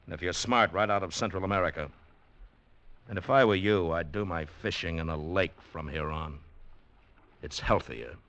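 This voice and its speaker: A man's voice: sits low, moderate pace, long pauses, fairly bright tone, lo-fi sound. A man playing a tough, sardonic boat captain in a 1940s radio drama, talking in a blunt, dry, hard-boiled way.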